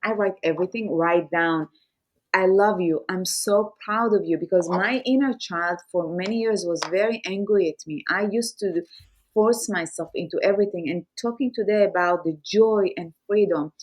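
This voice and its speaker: A woman's voice: on the low side, moderate pace, darkish tone, poor audio. A woman podcast host who speaks English as her third language, talking candidly and personally, sometimes repeating her words. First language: Farsi or Hebrew. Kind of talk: conversational interview.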